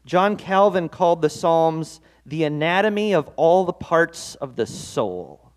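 Man, pastor, devotional sermon, earnest, chatty, fairly high voice, pitch rising and falling for emphasis. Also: expressive, a little slow. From Norwegian-American heritage. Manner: conversational and earnest, with self-deprecating asides.

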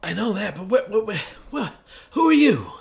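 A man's voice: sing-song, moderate pace, exaggerated voice. A man playing a confused, suspicious amnesiac hero in an improvised film noir audio play, hard-boiled and prone to stammering. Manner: agitated stammering in dialogue, low brooding asides. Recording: clearly noisy background.

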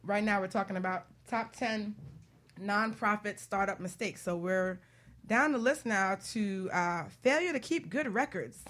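A woman's voice: medium pitch, measured pace, a bit bright. A woman hosting a casual panel talk show in a playful, teasing manner.

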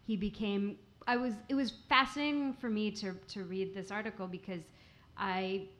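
A woman's voice: mid-range voice, steady tone, normal speed, a bit bright. A woman interviewing a guest, hesitant and searching for her words. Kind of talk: live interview questions.

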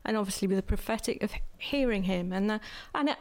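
A woman speaking earnestly on a church panel, measured, pausing often with fillers. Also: mid-range voice. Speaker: church speaker who prophesies.

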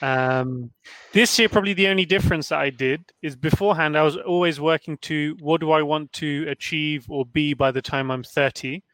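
A man in his thirties in a casual group discussion, laying out his thoughts in a measured, orderly way with frequent "um"s. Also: a little high, steady tone, fairly bright tone, no echo, rough recording. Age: 30s. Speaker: panel guest.

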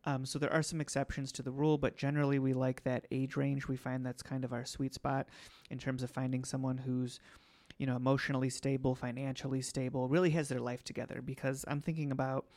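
A man hosting a podcast, slightly hoarse today, explaining things casually with many ums. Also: medium pitch, flat delivery, brisk, a little mellow. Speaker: podcast host.

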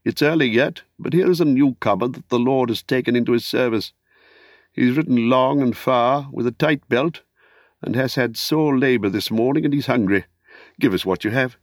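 A man's voice: mid-range voice, moderate pace, dry recording, clean studio sound, voice pushed up, close to an everyday voice. A man voicing a friendly, hospitable city guardsman in a storybook, hearty and courteous, with formal archaic phrasing. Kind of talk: audiobook character acting.

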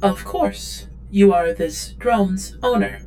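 An actress playing a submissive, eager-to-please android drone, speaking formally and deferentially in an audio drama. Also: female voice, mid-range voice, slow, dark tone, a little hiss or hum, lightly acted. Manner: formal, deferential, literal, third-person self-reference.

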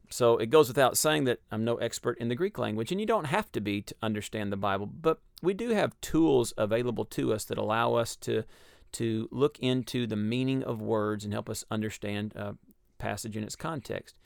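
A man with a Texas accent explaining a Bible passage in a measured, teaching way on a radio discussion. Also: mid-range voice, gravelly, dry recording, clean studio sound. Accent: Texan. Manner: measured, explanatory, with frequent ums and uhs.

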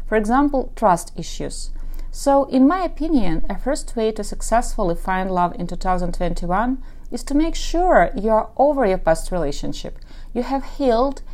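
A woman's voice: medium pitch, darkish tone. Woman, Ukrainian Russian-speaking accent, Russian native speaker, matchmaker and dating coach, podcast monologue, advice-giving, long lists of examples.

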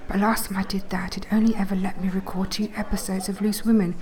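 Put-upon wife for an actress: reluctant, hushed grumbling under her breath, mid pitch, naturalistic audio drama. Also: level tone, quick pace, natural voice. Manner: hushed, whispered, complaining.

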